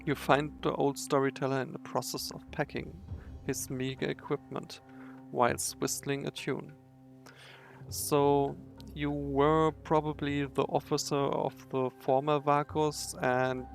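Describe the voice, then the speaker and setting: A man with a creaky voice doing game commentary and reading story narration aloud. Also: medium pitch, little pitch movement, a little slow. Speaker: gamer narrating a playthrough. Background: faint music.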